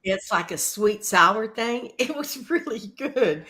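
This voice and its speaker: A woman's voice: fairly high pitch, expressive, slow, bright, forceful tone, breathy edge. A middle-aged or older American woman chatting casually and good-humoredly as a guest on a talk show. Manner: good-humored, laughs often while talking. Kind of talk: casual chat.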